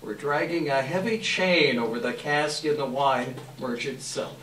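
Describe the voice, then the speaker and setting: A man narrating a classic story at a live reading, with measured, theatrical and emphatic phrasing. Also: mid-range voice. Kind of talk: dramatic scripted narration, live readers theater. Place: indoor live event room. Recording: echoey room, distant mic, faint noise.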